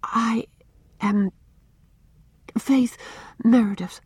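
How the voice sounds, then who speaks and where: A woman reading an audiobook and voicing a scared, meek young girl who speaks haltingly, a few words at a time. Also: a little high, fairly even intonation, darkish tone, breathy edge, no room sound, voice pushed up, full character voice. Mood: terrified. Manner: halting, faltering, word by word. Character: frightened pale meek young girl, stammering but brave. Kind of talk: audiobook character voice.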